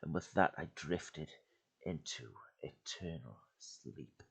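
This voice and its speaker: A man giving a dramatic reading of a horror story into a home desk mic, swinging from loud urgency to hushed, breathy lows. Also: takes it slowly. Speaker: YouTube creepypasta reader.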